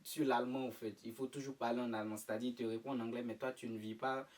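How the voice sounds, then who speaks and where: Young man, from Côte d'Ivoire, mid-pitched, hesitant, fillers, slightly distant mic. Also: flat delivery, fast talker. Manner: hesitant, many fillers and restarts. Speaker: interview guest. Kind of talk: casual interview answers.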